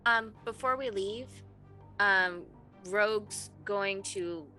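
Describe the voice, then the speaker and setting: A woman casually describing her character's actions as a tabletop roleplaying player, thinking aloud in a halting, informal way. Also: mid-range voice, bright, forceful tone, faint music behind.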